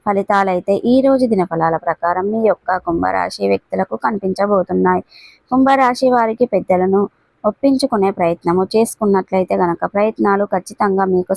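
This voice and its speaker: A woman with a noticeably creaky voice narrates a daily horoscope. Her pitch is average for a woman, and she words things in a repetitive, roundabout way. The sound is dry and close. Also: darkish tone, dry recording, rough recording. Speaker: astrology channel presenter.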